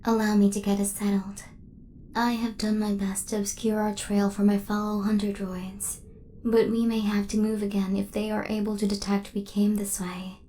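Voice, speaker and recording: Woman as a soft, monotone devoted robot companion. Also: mid-range voice, moderate pace, slight background noise, close to an everyday voice. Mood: gentle, attentive. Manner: formal, precise, clipped machine-like phrasing. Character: devoted female hunter robot turned protective companion. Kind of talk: ASMR roleplay, soft-spoken acting.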